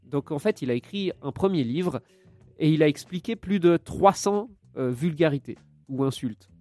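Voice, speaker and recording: Joking bilingual young man, fairly high voice. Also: expressive, moderate pace, warm tone, no room sound, soft background music. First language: Italian, with French. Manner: joking, chatty, drifts into asides. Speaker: podcast co-host. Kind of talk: casual radio-show chat.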